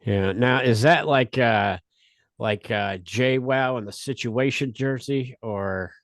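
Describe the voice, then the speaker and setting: A middle-aged man hosting a casual podcast chat, rambling and halting as he talks. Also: medium pitch, fairly bright tone, dry recording, clean studio sound. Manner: rambling, halting, restarts often. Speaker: podcast host.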